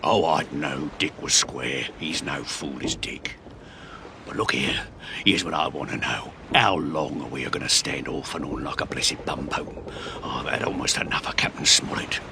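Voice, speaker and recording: An actor playing a wily, grumbling old pirate seaman in an audio adventure, with a gravelly voice and impatient manner. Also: extremely low pitch, normal speed. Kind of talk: dramatised audio adventure acting. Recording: noticeable background noise.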